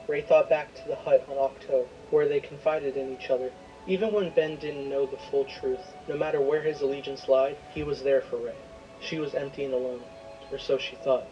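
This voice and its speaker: Male narrator, dramatic scripted storytelling, fairly even delivery, prominent music bed behind. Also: mid-range voice, highs cut off.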